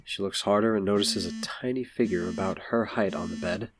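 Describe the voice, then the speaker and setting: Low-voiced man narrating an audio drama. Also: brisk, a crowd in the background. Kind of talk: scripted narration.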